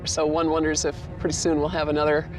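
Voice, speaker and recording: A man's voice: a little high, completely flat delivery, quick pace, poor audio. A man giving explanatory interview answers as an expert in a documentary. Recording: loud music under the voice. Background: loud background music.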